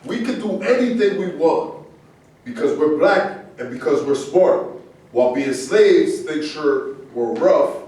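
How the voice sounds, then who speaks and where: A man's voice: fairly high pitch, expressive, unhurried, darkish tone. A man acting in a staged reading, reciting rhyming lines. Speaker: actor in a staged play reading. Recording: echoey room, distant mic, faint noise.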